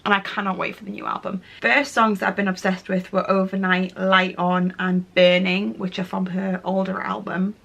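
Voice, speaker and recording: A woman in her late twenties talks to camera in a loose, chatty way, gushing over things she loves. Her voice is rough and gravelly from a cold, husky and a little nasal. She leans on "really, really" again and again. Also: mid-range voice, level tone, moderate pace, few pauses, fairly bright tone. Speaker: lifestyle vlogger. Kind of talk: casual chatty monthly favourites vlog.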